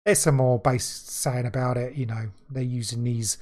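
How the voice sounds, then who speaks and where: A man's voice: medium pitch, fairly even intonation, moderate pace, darkish tone. A man co-hosting a podcast, reading a news story aloud and stumbling over words, then chatting casually. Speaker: podcast co-host.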